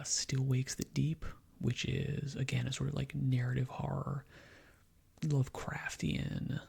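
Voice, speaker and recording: A man's voice: medium pitch, creaky, a little mellow, husky. Whispery, crackling ASMR man. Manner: rambling, halting, thinking aloud. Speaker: video game commentator. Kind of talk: ASMR, soft whispery gaming commentary.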